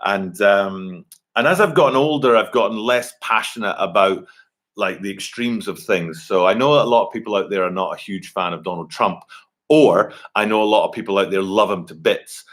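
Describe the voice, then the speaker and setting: Rambling man in his forties hosting a casual live stream at his computer, voice sits low. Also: moderate pace, fairly bright tone. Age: 40s. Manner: rambling, many fillers and restarts. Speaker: livestream host. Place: home, at a computer. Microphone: computer webcam mic.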